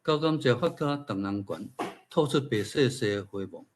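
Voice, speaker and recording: A man's voice: medium pitch, lively intonation, moderate pace, slight background noise. A man with a Taiwanese accent, an author reading his own fiction aloud and adding informal remarks. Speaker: featured speaker, writer.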